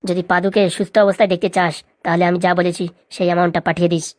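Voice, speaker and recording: A woman's voice: fairly low pitch, little pitch movement, racing, no echo, clean studio sound, close to an everyday voice. A woman voicing a menacing male cartoon kidnapper, curt and domineering in comedy dialogue. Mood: cold menace. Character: menacing male kidnapper making ransom demands.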